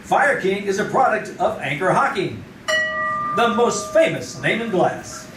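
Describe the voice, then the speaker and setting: A man's voice: high-pitched, sing-song, measured pace, heavy background noise. A man playing an emphatic, polished 1940s radio announcer selling for a sponsor. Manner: emphatic salesman delivery. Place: live theater stage. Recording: echoey stage, distant, loud music.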